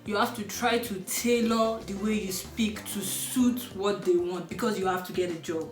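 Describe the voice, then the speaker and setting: A woman's voice: mid-range voice, steady tone, normal speed, reverberant, faint music behind. A young woman from Southwest Nigeria with a Yoruba Nigerian accent, a guest speaking bluntly and forthrightly in casual chat.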